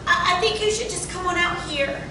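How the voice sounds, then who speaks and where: Woman acting very high-voiced anxious young wife, echoey. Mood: pleading, anxious. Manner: earnest, halting pleading. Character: frightened, devoted young wife pleading with her husband. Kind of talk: stage play table reading. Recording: very echoey room from far off, rumbling noise.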